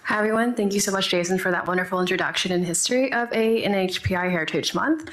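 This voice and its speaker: A woman's voice: medium pitch, fast, a bit bright. A young woman from Texas moderating a panel, introducing herself and the discussion. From Texas, United States. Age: young adult. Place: panel event room with audible echo. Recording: roomy live event sound.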